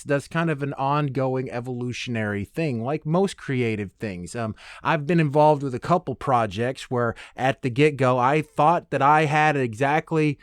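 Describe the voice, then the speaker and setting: A man's voice: medium pitch, dry recording, studio quality. A man hosting a podcast alone in a casual, loose, rambling monologue with a playful, self-deprecating manner. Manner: rambling, loose, tangent-prone. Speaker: podcast host.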